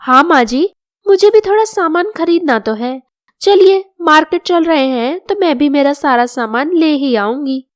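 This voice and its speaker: Woman playing a high-pitched haughty young bahu. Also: lively intonation, moderate pace, no echo, clean studio sound. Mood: smug. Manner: haughty, cheeky. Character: vain, insolent young daughter-in-law.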